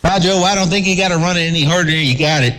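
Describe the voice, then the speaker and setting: Laid-back radio operator, slightly high voice. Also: male voice, quick pace, very noisy. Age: adult, father of a five-year-old. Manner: laid-back, says "man" a lot, laughs often. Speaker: radio operator hosting the stream. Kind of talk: casual radio chat. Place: home radio station in the desert. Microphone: radio base station mic.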